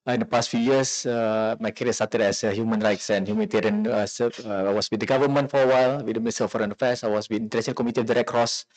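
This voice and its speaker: A man's voice: fairly low pitch, steady tone, rapid-fire, few pauses, poor audio. A man introducing himself as a humanitarian official on a forum panel.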